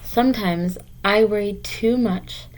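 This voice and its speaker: A woman's voice: medium pitch, takes it slowly, slight background noise, poor audio. A woman teacher reading a picture book aloud to young children, explaining and encouraging them in simple, direct words.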